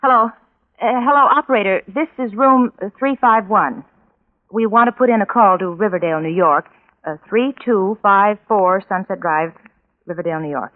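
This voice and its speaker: A woman's voice: mid-range voice, moderate pace, natural voice. An actress playing a practical, loyal young woman in a 1940s radio drama, speaking in an earnest, coaxing manner. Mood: businesslike. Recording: tinny band-limited old radio recording.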